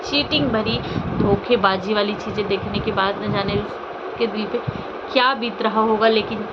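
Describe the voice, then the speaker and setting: A woman's voice: mid-range voice, steady tone, normal speed. A woman speaking off the cuff as a social media commentator, indignant and reproachful, throwing out rhetorical questions. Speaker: social media vlogger commenting on online drama. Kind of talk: unscripted opinion commentary on a personal scandal. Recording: muffled, lo-fi, loud vehicle and ambient noise.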